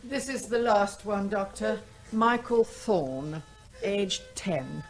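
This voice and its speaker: An actress playing an efficient nurse who reports to a doctor in an old radio drama. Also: female voice, mid-range voice, slow pace, over music. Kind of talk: 1970s radio drama acting.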